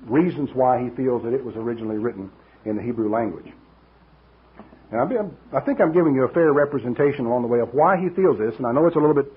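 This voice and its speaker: Husky older preacher, animated delivery. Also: male voice, medium pitch. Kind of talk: expository sermon, teaching. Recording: dull, lo-fi radio-like recording.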